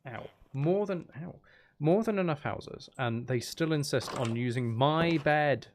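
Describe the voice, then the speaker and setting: A man's voice: fairly high pitch, measured pace, slight background noise. A man giving casual live commentary while streaming a video game, muttering wry, exasperated asides to himself.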